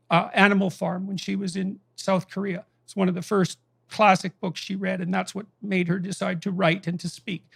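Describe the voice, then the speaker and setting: A man with a high, gravelly voice recounts a conversation in emphatic, impassioned storytelling. His voice is dry and close, with no room sound around it. He presses his points hard and grows indignant. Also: a bit bright, no echo.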